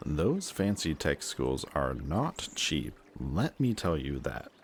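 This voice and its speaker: A man acts out a reformed antihero with an extremely low voice, talking closely to a best friend in an audio drama. He is remorseful, affectionate and emotionally open, with a hesitant conversational flow. Noticeable ambient noise sits behind him. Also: sing-song, slow, full character voice. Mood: confiding, wry. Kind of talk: intimate audio roleplay acting addressed to the listener.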